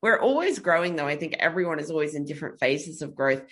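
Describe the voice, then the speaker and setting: A woman's voice: sits low, expressive, quick pace, fairly bright tone. A woman speaking as a guest on a podcast in casual chat. She talks thoughtfully and earnestly, explaining her views at length.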